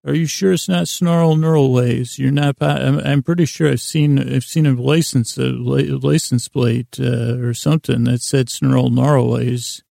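A man rambles through a whimsical sleep podcast monologue in a deeply gravelly, creaking voice. His tone is dark and relaxed, recorded close and dry. He halts, loses the thread and circles back in an absent-minded way. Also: no room sound. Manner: meandering, halting, absent-minded, trailing off and doubling back. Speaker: podcast host.

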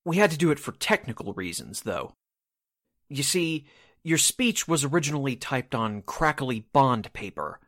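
Medium-pitched, affable radio station man acted. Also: very animated, moderate pace, a bit bright. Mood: explanatory. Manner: explanatory, businesslike. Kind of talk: radio drama acting.

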